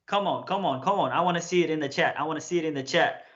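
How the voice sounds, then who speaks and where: A young man from Nepal chats with his livestream audience in a relaxed, storytelling way. He keeps checking in with them as he talks. His voice sits a little high, and the sound is roomy and slightly dull. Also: quick pace. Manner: chatty, keeps checking in with "okay?" and "right?". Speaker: streamer coaching viewers.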